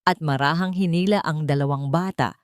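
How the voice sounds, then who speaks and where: A woman narrating a scripted romantic drama with sentimental, deliberate phrasing. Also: low voice, clear, resonant tone, no echo, clean studio sound.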